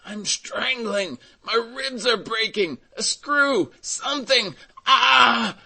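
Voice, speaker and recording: A storybook old man, acted out by a male narrator, trapped and in pain. The voice leaps high and strains as he cries out and pleads, with a self-sacrificing temper. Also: slow, vocal fry, pushed and bright, exaggerated voice. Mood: agonized, panicked. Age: older. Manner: strained, agonized crying out.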